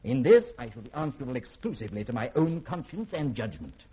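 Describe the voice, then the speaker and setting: An actor playing an imperious, secretive middle-aged scientist in a 1950s radio drama, with haughty, formal phrasing and a husky voice. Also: male voice, medium pitch, very animated, fast talker, dark tone. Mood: coolly defiant.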